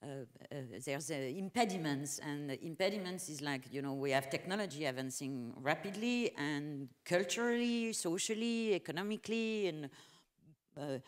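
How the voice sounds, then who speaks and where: A woman moderating a conference panel, halting and full of fillers as she poses questions. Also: low voice, expressive, in a large hall. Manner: halting, many fillers and restarts. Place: conference hall stage.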